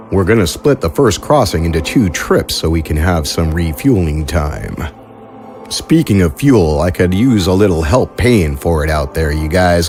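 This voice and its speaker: Man, host and story narrator, deep low pitch, scripted delivery, soft music bed.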